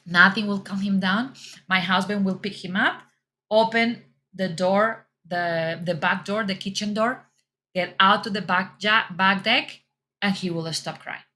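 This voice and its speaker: A woman's voice: medium pitch, a little slow, bright, forceful tone. A middle-aged woman with a Colombian accent giving parents reassuring advice and repeating her words often. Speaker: mom fitness coach. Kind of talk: casual advice talk.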